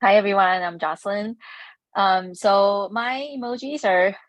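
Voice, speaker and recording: A woman's voice: medium pitch, no echo, highs cut off. Earnest woman CTO from Taiwan. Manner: earnest, slightly halting. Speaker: CTO, panelist. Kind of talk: self-introduction on a panel.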